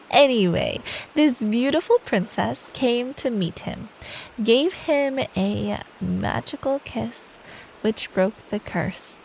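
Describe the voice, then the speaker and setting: Woman acting caring girlfriend, ASMR roleplay, slightly high soft voice, creaky edges, intimate, playfully teasing. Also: exaggerated voice, low-quality recording. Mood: playful, mock hurt. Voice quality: soft, breathy. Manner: slow, affectionate, playfully teasing. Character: sweet, teasing, caring girlfriend telling an improvised bedtime story.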